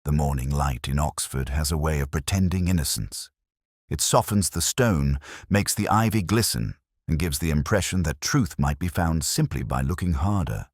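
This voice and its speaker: A man reading a scripted first-person detective story as narrator, in a measured, melancholy, literary manner. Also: extremely low pitch, expressive, no room sound, studio quality.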